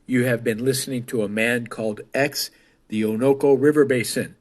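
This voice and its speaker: A man's voice: medium pitch, fairly even intonation, moderate pace. A man hosting a podcast, reading a scripted show outro. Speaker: podcast host.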